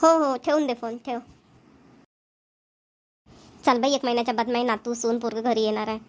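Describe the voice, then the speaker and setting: Woman playing high-voiced village grandmother Shanta. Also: fairly even intonation, moderate pace, lightly acted. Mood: delighted, chatty. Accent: rural Maharashtrian (Varhadi). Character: Shanta, doting village mother-in-law and grandmother, chatty farmer's wife. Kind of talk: Marathi cartoon comedy voice acting.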